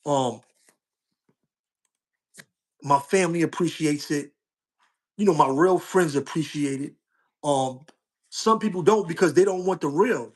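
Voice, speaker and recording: A man's voice: a little high, unhurried, long pauses, darkish tone. A middle-aged man talking casually to his livestream viewers, using street slang and often repeating himself with "you know what I'm saying". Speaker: social media streamer.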